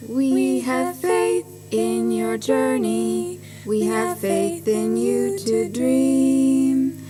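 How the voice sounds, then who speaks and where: A woman's voice: sits high, lively intonation, drawn-out words, over music, exaggerated voice. A woman singing as village children in a stage play, giving an ominous farewell in a lullaby-like style. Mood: ominous, singsong.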